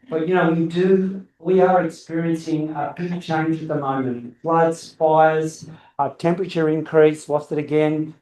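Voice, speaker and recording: A middle-aged man with a booming voice speaks as a guest speaker at a club meeting. His talk is loose and rambling, full of restarts. He sits a little high for a man, recorded from a distance in an echoey room. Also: darkish tone. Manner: loose, rambling, self-correcting. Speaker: guest speaker, landscape architect. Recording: roomy echo, distant camera.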